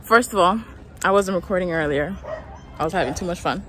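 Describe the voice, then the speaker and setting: A woman's voice: on the low side, fairly quick. A woman in her twenties chatting casually to her phone while walking outdoors, loose and a bit profane, full of fillers. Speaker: vlogger. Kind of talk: casual vlog chat. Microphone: phone at arm's length. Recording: loud street noise.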